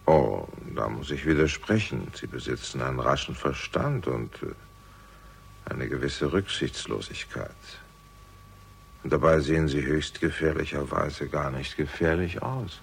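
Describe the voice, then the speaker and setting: An actor playing a composed, menacing older father in a German radio crime drama, speaking formally and deliberately with a gravelly, husky voice. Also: a bass voice, a little hiss or hum, heavily acted. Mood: polite, guarded.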